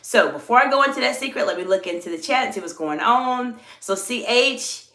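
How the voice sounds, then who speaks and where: A woman's voice: a little high, expressive, pushed and bright, reverberant. A woman from the American South with a mixed Southern and New York accent, hosting a live-stream coaching talk and stretching out key words for emphasis. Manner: drawn-out emphatic words, reads chat aloud. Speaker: executive coach and channel host.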